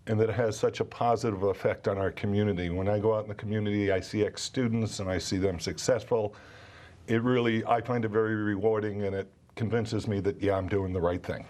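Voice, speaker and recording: A man's voice: on the low side, darkish tone. A man from New England, a college English professor guest on a local TV show, talking earnestly and enthusiastically. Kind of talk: TV interview guest. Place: TV studio.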